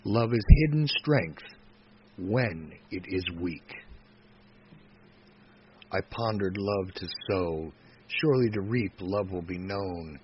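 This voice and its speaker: A man reciting poetry dramatically, in a solemn, measured manner that moves between hushed phrase endings and emphatic phrases. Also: low voice. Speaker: poetry reader. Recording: dull lo-fi recording with faint noise.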